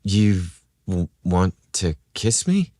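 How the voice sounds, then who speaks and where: A man's voice: low voice, sing-song, takes it slowly, dark tone, dry recording, studio quality, heavily acted. A man voicing an awkward, eager, lovestruck male romance writer who sounds hesitant and flustered, in an audio storybook. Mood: stunned.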